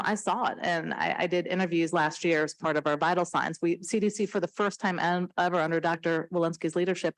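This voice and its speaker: A woman speaking as a health official, giving an earnest, emphatic answer on a panel without a script. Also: on the low side. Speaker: CDC official and emergency physician, panelist.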